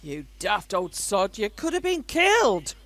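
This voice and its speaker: A woman's voice: medium pitch, wildly swooping pitch, unhurried. A woman in a radio play acting a bossy, exasperated old grandmother, nagging shrilly. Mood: frantic nagging. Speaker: amateur radio play actor. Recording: loud air-raid siren over voice. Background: air-raid siren.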